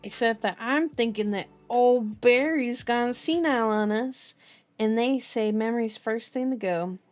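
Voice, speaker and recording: A woman's voice: fairly high pitch, a little slow, dry recording, lightly acted. A teasing, grinning policeman from a novel, read out by a woman. The lines are jokey and mocking, delivered in a storybook reading. Faint music plays behind.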